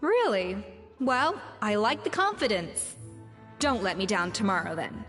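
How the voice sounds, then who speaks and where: An actress playing a confident, competitive young woman in a video game, speaking in a brisk, self-assured way. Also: medium pitch, very animated, a bit bright. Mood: determined, confident. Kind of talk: scripted video game character dialogue. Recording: game audio with reverb and music.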